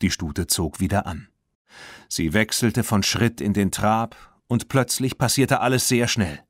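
A man narrating a children's audiobook in an expressive, dramatic storytelling manner. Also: fairly low pitch, unhurried, no echo, studio quality.

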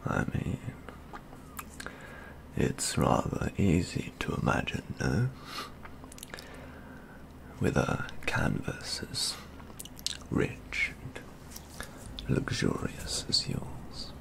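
A young man murmurs close to the microphone in a soft-spoken ASMR roleplay. His breathy, half-whispered voice is extremely deep and heavily creaky. He takes his time, very slowly savouring affected, genteel phrases. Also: big pitch swings, background noise. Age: 20s. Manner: hushed, slow, deliberate, genteel and affected, savouring words. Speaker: ASMR roleplay performer as a model painter.

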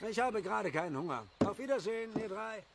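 Man acting a polite, expressive village neighbour. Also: sits high, quick pace, noisy background. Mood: polite, wary. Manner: polite, questioning.

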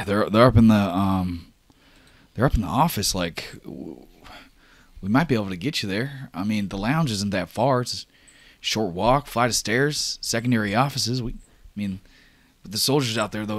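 A man doing a steady, protective rig worker. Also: on the low side, moderate pace, no room sound, pitched below the performer's own voice, natural voice. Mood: earnest.

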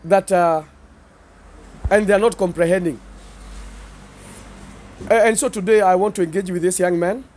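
High-voiced middle-aged Kenyan pastor. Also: male voice, fairly even intonation, moderate pace, pauses often, darkish tone. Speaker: pastor being interviewed. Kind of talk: earnest interview talk. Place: seated indoors in a small studio set. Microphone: handheld interview microphones held by the interviewer.

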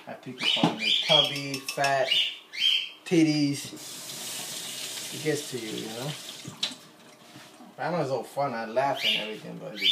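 Rambling Puerto Rican man, gravelly voice. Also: medium pitch, very noisy. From Puerto Rico. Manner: rambling, self-deprecating. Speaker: vlogger. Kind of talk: casual chat while cooking. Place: home kitchen, indoors. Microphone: phone camera on counter. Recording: echoey kitchen with faint ambience.

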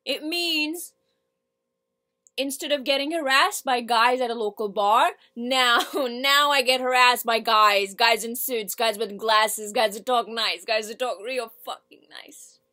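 Actress as bitter, defiant young woman, bright-voiced. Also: fairly high pitch. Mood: angry outburst. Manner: halting and repetitive, building to outbursts, swearing. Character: bitter, hurt, defiant young working-class woman, foul-mouthed. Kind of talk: theatrical audition monologue.

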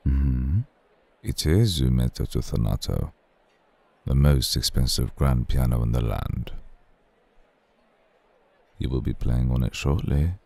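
An ancient vampire lord, acted by a man, speaks in an extremely low, husky rumble. He is slow, formal and seductive, with cool courtesy and a possessive edge. The ASMR roleplay is recorded dry and close. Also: a little mellow, no room sound, exaggerated voice. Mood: soothing, seductive. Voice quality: very deep, gravelly.

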